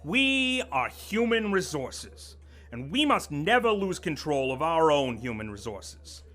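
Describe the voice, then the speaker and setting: A man voicing a smug, menacing corporate boss in an audio drama who lectures his staff with pompous condescension. His voice sits fairly high and swings widely, with a bright, sharp edge. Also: sing-song, a little slow, full character voice. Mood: lecturing. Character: pompous middle-aged male corporate boss, menacing and sly. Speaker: office vice president.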